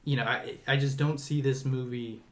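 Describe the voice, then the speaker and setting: A man's voice: medium pitch, steady tone, moderate pace. A man hosting a podcast, introducing topics and discussing movies in an informal, conversational way. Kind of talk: casual movie discussion chat.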